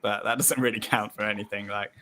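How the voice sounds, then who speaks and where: A man's voice: on the low side, fairly even intonation, fairly quick, bright, forceful tone, a little hiss or hum. A British man bantering in a casual live-stream chat over a video call, laughing often. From Britain. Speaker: co-host.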